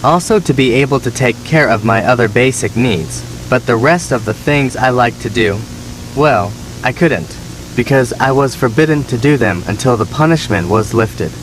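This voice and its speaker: A man playing a neglected, resentful younger son, telling his story as measured, plaintive scripted horror narration. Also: medium pitch, a little hiss or hum, lightly acted, poor audio. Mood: aggrieved.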